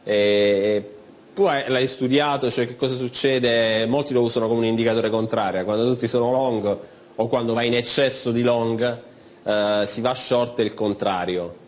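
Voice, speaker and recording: Brisk male TV host steering an interview. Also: medium pitch, steady tone. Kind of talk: studio interview. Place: TV studio.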